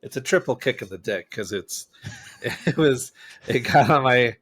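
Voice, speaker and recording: A man's voice: medium pitch, lively intonation, unhurried. A man co-hosting a podcast in casual, conversational chat. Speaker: podcast co-host.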